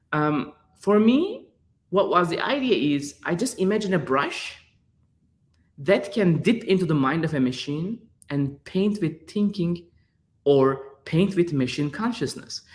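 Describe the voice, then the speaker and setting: A man in his thirties with a Turkish accent answers questions in a searching, restarting way, leaning on fillers as he builds his thoughts. His voice sits somewhat high for a man. It comes through a video call. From Turkey. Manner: searching, repeats words, many "like" fillers. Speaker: guest artist. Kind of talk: interview answers.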